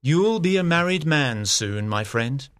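A man playing a composed, condescending prince in a dramatised audiobook, measured and courtly. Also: medium pitch, animated, a bit bright, clear, resonant tone, no room sound. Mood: teasing. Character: composed, condescending prince, smoothly sure of himself.

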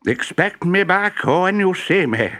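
A man's voice: sits high, lively intonation, unhurried, bright, forceful tone, voice pushed up, exaggerated voice. A man doing a chirpy old professor. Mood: cheery. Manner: eager, chirpy, exclaiming. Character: excitable absent-minded old male professor. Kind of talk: storybook character voice. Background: background music.

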